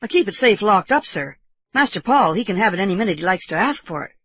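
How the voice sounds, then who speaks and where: Loyal English serving man, voiced by a woman: deferential, confiding, secretive, lively pitch, husky edge, classic story. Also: fast. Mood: secretive, respectful. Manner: respectful, secretive.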